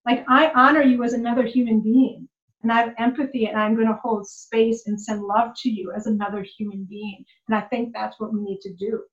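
Guest, a woman life coach with a higher voice, passionate and rambling, on a lo-fi recording. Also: steady tone, fairly quick. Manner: impassioned, repetitive, many "like"s. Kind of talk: casual conversation.

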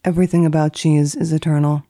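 A woman speaking as a guest columnist in a deadpan, theatrical manner, as if giving a dramatic reading. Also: low-pitched, fast, no room sound, clean studio sound. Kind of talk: dramatic reading of a book index and deadpan commentary.

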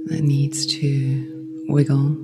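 Very slow, low-voiced woman guiding meditation. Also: monotone. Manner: soothing, gentle, often trailing into breathy whisper. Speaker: yoga nidra guide. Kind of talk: guided meditation. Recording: long reverb with meditation music behind.

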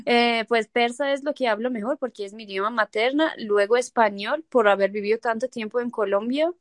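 A woman's voice: on the high side, a little fast, no room sound, clean studio sound. A woman from Iran whose first language is Persian, a guest in a casual interview, talking hesitantly with many fillers and small laughs.

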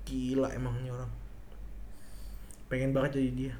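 A man's voice: medium pitch, completely flat delivery, moderate pace, a little mellow, slight background noise. A man in his twenties with a Jakarta accent, chatting casually and informally in colloquial slang. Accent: Jakarta Indonesian. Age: 20s. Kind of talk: casual chat. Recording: echoey room.

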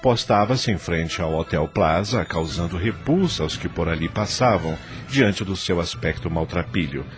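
A man's voice: deep voice, animated, moderate pace, hardly any pauses, music in the background. A man with a Brazilian accent giving dramatic scripted narration for a radio drama.

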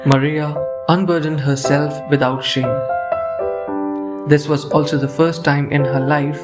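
A man's voice: fairly high pitch, little pitch movement, unhurried. A man narrating an audio play from a script, with music behind him.

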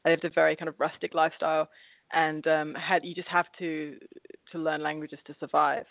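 A woman's voice: low voice, little pitch movement, a little fast, dry recording. A woman from England with an English accent touched by an Australian twang, chatting as a guest with frequent laughs and restarts. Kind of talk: casual interview chat. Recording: phone-like line, dry.

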